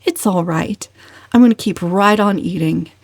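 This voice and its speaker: A woman's voice: lowish voice, normal speed, gravelly, a little mellow, no echo. A woman voicing a defiant, coolly impudent young woman in a storybook, with pointed delivery. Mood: breezy defiance. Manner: pointed, insistent.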